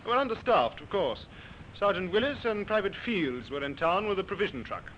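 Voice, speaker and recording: High-voiced, courteous army major. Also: male voice, very animated, brisk, fairly bright tone. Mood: obliging, courteous. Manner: polite, clipped officerly formality. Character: courteous, obliging army major, camp commander. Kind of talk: old-time radio drama acting. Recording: muffled, noisy old radio broadcast.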